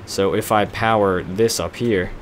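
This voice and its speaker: A man's voice: fairly low pitch, steady tone, a little slow, a little hiss or hum. A young man casually narrating a block-building game, rambling and poking fun at himself while he works things out. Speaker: let's play gamer. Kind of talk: casual gameplay commentary.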